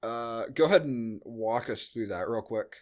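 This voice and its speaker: A man's voice: medium pitch, normal speed, a little mellow. An American man co-hosting a podcast, talking casually about a film in a blunt, joking, sweary way. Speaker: podcast co-host. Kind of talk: casual film review chat.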